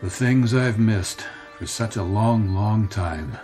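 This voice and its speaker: A man's voice: lowish voice, takes it slowly, darkish tone, faint music behind. An actor in a radio drama playing a polite, harried ad executive in his thirties, hesitant and wistful. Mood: apologetic, wistful. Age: 30s. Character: weary, polite, harried 36-year-old male ad executive.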